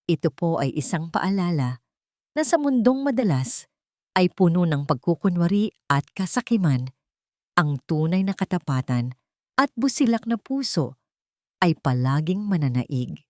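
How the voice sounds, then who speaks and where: A woman's voice: on the low side, a little mellow, no room sound, clean studio sound. A woman narrating a scripted story in an earnest, sentimental and formal way. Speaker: storyteller and channel host.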